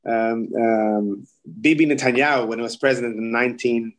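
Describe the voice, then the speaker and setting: A man's voice: mid-range voice, steady tone, normal speed. A man in his forties from the Netherlands with a Dutch accent, a rabbi talking casually in an interview, often repeating words and restarting. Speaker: kosher supervision rabbi.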